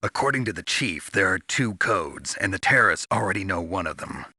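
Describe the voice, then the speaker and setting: A man playing a gruff, hardened special-forces soldier in a video game, with a gravelly rasp and a terse, probing manner. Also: low voice, expressive, brisk, sharp tone, dry recording, clean studio sound, heavily acted. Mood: tense, focused. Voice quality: gravelly, raspy. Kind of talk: scripted video game voice acting.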